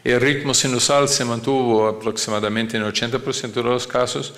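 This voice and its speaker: A man who is not a native Spanish speaker gives a medical lecture, often halting as he searches for words. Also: mid-range voice, fairly even intonation, a bit bright. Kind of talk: conference lecture.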